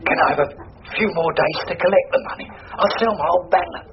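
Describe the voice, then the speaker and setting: An actor playing a shifty small-time crook turned police informer in a radio drama, slangy, defensive and wheedling. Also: male voice, high-pitched, fast talker, vocal fry. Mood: wheedling, nervy. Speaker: witness. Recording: noisy, muffled radio drama recording.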